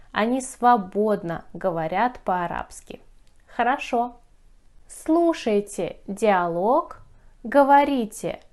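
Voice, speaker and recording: A woman from Russia teaching a language lesson, speaking carefully and repeating phrases for learners. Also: mid-range voice. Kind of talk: slow, clearly enunciated language lesson.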